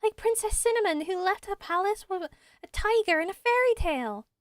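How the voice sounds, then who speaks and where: Lady doing a little girl for a game, eager story lover, really high voice, dry recording. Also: lively intonation, moderate pace, dry recording. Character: bright eager little village girl who loves stories.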